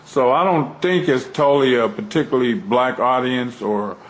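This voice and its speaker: A man hosts an interview in an easygoing, affirming manner. His voice sits at a middle pitch. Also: normal speed, poor audio. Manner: conversational, affirming. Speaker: interview host. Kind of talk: casual interview.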